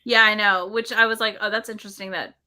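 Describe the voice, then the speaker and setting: A woman's voice: mid-range voice, steady tone, quick pace, pushed and bright. A woman chatting casually in a podcast book discussion, often voicing her reactions as little quotes. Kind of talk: casual chat.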